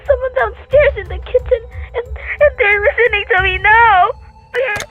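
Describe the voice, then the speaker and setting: A woman's voice: very high-pitched, normal speed. A woman playing a nervous, demanding invalid wife in a radio thriller, stammering and pleading insistently. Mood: terrified. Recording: tinny phone-like audio with music.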